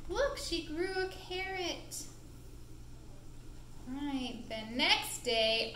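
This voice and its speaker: Woman storyteller, bright voice, unhurried, expressive, children's puppet show, roomy sound with faint noise. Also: sits high. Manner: expressive, deliberate, playful. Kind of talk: animated storytelling for young children. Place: indoor room with a puppet stage.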